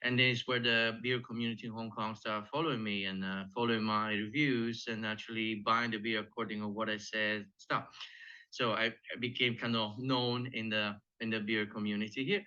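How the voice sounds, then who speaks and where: A man's voice: fairly low pitch, pushed and bright, clean tone, dull audio. A man from Sardinia with an Italian accent, a guest expert explaining things in slightly non-native English. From Sardinia, Italy. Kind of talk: interview answers.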